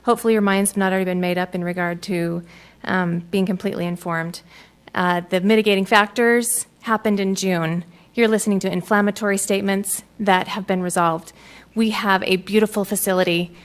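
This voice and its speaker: A woman's voice: medium pitch, fairly even intonation, fairly quick, clean tone. A woman who owns a business, reading an earnest, defensive prepared statement at a city council meeting.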